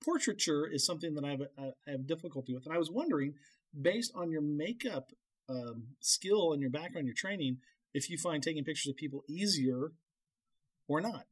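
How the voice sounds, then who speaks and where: A man hosting a casual, chatty interview in a halting, rambling, self-deprecating way. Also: a little high, expressive, breathy edge, low-quality recording. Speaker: podcast interview host.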